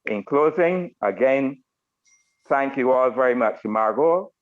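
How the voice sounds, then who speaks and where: An older man with a Barbadian accent gives informal thanks on a group call, speaking in formal, deliberate phrases. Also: medium pitch, animated. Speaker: retired military officer giving closing remarks. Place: online video meeting. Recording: narrow phone-like video call audio.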